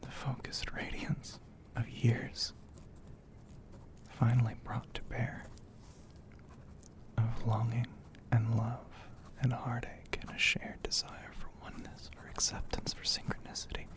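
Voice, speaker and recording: Guy whispering an asmr story up close, really slow, breathy and hushed. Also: medium pitch, monotone. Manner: slow, hushed, poetic delivery with frequent pauses. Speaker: ASMR audio narrator.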